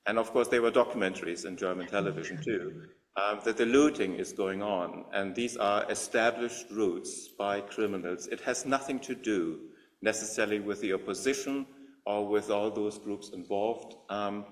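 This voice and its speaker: A man's voice: on the low side, normal speed. A man moderating a meeting, speaking in a rambling, admiring way with many fillers. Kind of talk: conversational remarks to an audience.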